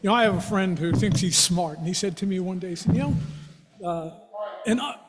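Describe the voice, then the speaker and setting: A man's voice: high voice, a little fast, loud music behind the voice. A middle-aged man, a minister, telling a joke and then leading a spoken prayer at a club lunch. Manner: loud, jovial speaker. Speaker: minister giving an invocation.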